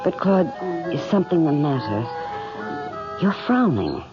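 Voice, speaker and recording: An actress playing a devoted, excitable bride in a radio drama, full of anxious questions and exclamations. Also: female voice, low voice, measured pace. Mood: concerned. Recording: muffled radio recording under loud music.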